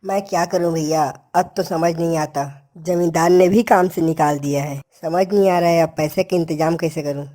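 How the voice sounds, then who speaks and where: A poor, humble village labourer played by a man in a cartoon tale. His voice is dark and mellow, with a plaintive, earnest manner. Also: fairly high pitch, steady tone, moderate pace, hardly any pauses, lightly acted. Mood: pleading.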